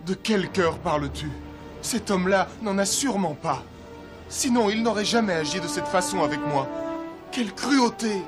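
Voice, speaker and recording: A man's voice: sits high, expressive, moderate pace. A man playing a wounded, earnest young prince in a fairy tale cartoon, with formal, courteous phrasing. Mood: hurt. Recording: music behind the voice.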